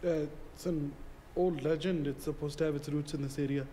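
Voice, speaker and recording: A man's voice: on the high side, steady tone, fairly quick, warm tone, lightly acted. Actor as an eager young man. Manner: eager, reads aloud. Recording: echoey hall, faint noise.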